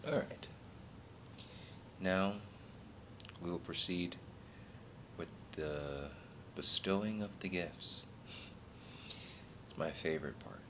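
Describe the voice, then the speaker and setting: A man doing a soft-spoken ASMR role play as a calm, reassuring recruiter of a secret order, speaking unhurriedly in short phrases. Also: drawn-out words, pauses often. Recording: clearly noisy, low rumbling background.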